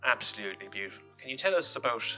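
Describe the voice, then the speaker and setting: A man's voice: mid-range voice, lively intonation, rapid-fire, faint music behind. A man hosts a live film Q&A, praising the guest and stumbling over repeated words. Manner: warm, enthusiastic, repeats words while searching. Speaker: event host and interviewer. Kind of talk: live onstage interview.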